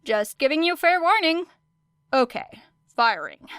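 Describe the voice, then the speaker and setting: A woman's voice: sits high, big pitch swings, unhurried, a bit bright, no echo, clean studio sound, voice pushed up, exaggerated voice. Woman playing a wry, reckless young female fighter. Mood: nonchalant.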